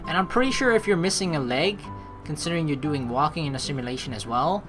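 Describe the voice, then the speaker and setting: Animated young male gamer over game music. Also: a little high, quick pace. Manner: expressive, slangy, animated. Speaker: let's play host. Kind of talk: game playthrough, reading dialogue aloud with casual commentary.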